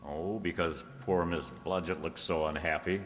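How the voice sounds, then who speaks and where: A man's voice: sits low, steady tone, normal speed, close to an everyday voice. A man playing a breezy, teasing ex-cop private detective in an old-time radio drama style, recorded in a very reverberant space. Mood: breezy, flirtatious. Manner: breezy, teasing, first-person hardboiled narration. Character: wisecracking ex-cop private detective, unflappable, flirtatious. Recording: very reverberant room or hall.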